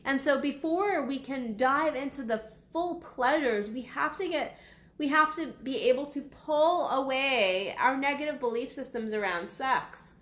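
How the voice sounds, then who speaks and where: A woman in her thirties with a fairly high voice that sounds raspy from being unwell. She talks frankly and casually, swears freely, and comes across as blunt. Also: measured pace, a bit bright, echoey room. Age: 30s. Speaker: sex coach. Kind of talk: casual talk to camera. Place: small waiting room at her office.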